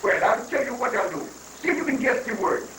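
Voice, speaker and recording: A man's voice: very high voice, sing-song, a little fast. A man playing a glib, unruffled guru who hands out mock-wise reassurance in an amateur reading of a stage satire. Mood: probing. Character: guru counselling troubled government officials, unruffled and glib. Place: room at a live library reading. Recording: distant, echoey room recording with faint noise.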